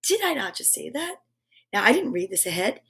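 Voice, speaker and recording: A woman's voice: a little high, sing-song, a little fast, creaky, fairly bright tone. A woman hosting a live children's story show, effusive and encouraging, chatting with playful asides and reading aloud.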